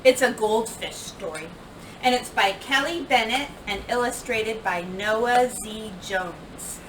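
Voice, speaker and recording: A woman reading a children's picture book aloud like a teacher, with expressive, emphatic delivery that acts out the words. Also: a little high, takes it slowly. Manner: animated, emphatic delivery with acted-out dialogue and sound words. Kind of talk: expressive storybook read-aloud. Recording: roomy, distant microphone, clear background noise.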